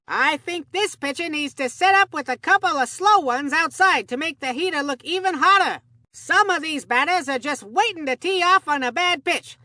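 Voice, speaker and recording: A woman voicing an excitable, folksy young baseball commentator in a cartoon video game, gushing in old-time slang. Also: sits high, dry recording. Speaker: sports commentator. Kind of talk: scripted game sports commentary.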